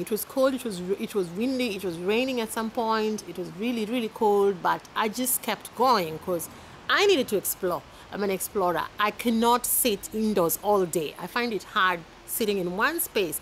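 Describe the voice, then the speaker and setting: A woman in her thirties from Kenya with a Kenyan accent, giving casual advice to camera in a chatty, animated way, filmed outdoors in a garden. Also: medium pitch, slight background noise, poor audio. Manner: chatty, animated, laughs often, with repeated restarts. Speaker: vlogger. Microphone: camera at arm's length.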